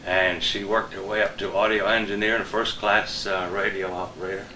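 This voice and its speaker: A man's voice: fairly low pitch, flat delivery, normal speed, sharp tone. An older man, around his seventies, reminiscing casually as an interview guest. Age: older, about 70s. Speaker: interview guest, radio veteran. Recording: echoey room, distant mic, faint noise.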